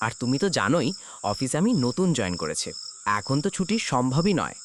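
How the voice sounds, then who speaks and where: A man's voice: mid-range voice, huge pitch swings, a little fast. A man playing a dutiful young adult son, earnest and reassuring, in a horror cartoon. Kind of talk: Bengali horror cartoon dialogue. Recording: faint noise with chirping crickets.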